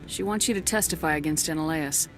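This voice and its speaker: A woman's voice: sits low, quick pace, music in the background, close to an everyday voice, rough recording. A woman playing a tough military commander in a video game, speaking firmly and directly with blunt questions. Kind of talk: video game dialogue acting.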